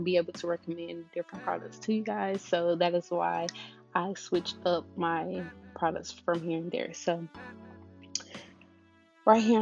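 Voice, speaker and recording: A young woman with a slightly raspy voice, chatting casually and in a relaxed way through a hair-care tutorial as a beauty vlogger. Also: on the low side, muffled recording.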